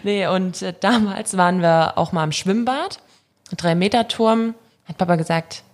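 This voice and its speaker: A woman's voice: mid-range voice, moderate pace. A woman speaking candidly and informally as an interview guest, giving casual answers and anecdotes, having grown up with German and Russian. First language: mixed German and Russian at home. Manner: candid, informal, laughs readily. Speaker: interview guest, professional handball player.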